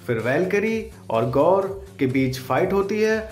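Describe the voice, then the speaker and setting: A man's voice: high voice, animated, measured pace, faint music behind. A man hosting a voice-over breakdown in an informal, slangy way, as commentary for viewers. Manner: informal, crude slangy joking. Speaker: video host.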